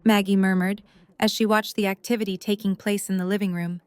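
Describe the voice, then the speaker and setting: A woman's voice: mid-range voice, flat delivery, a little fast, clean tone, no room sound. A woman reading scripted story narration as the narrator of an audio drama.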